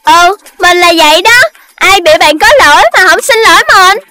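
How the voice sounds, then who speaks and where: A young cartoon rabbit girl, stubborn and quick to take offence. The voice is extremely high, with a southern Vietnamese accent and an insistent, huffy delivery. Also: over music. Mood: sulky. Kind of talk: children's cartoon dubbing.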